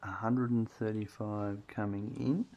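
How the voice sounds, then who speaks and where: Low-voiced man, strained, narrating gameplay. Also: measured pace, muffled recording. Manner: relaxed, thinking aloud while building. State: voice getting strained. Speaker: game streamer. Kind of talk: casual gameplay commentary.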